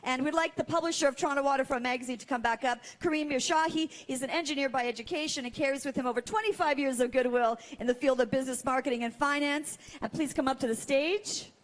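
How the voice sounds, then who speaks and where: High-pitched woman hosting an awards gala. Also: quick pace, hardly any pauses. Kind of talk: live event hosting, partly scripted announcements.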